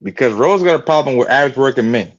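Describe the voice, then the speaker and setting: A man's voice: medium pitch, animated, fast, dry recording. A man calling in to a live panel, easygoing and laughing along with the host. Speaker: panel caller. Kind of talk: casual call-in banter. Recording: dull lo-fi call audio.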